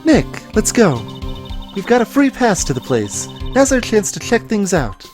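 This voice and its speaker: A woman voicing an excitable, eager young female sidekick in a video game, full of exclamations. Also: medium pitch, moderate pace, music in the background, close to an everyday voice. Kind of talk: voiced game dialogue.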